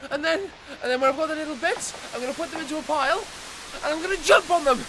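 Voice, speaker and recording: A man's voice: very high voice, fast talker, background noise. A man playing an indignant, flustered, spluttering ordinary Englishman in a radio play. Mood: furious. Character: outraged, bewildered ordinary Englishman, easily flustered. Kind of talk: radio drama acting.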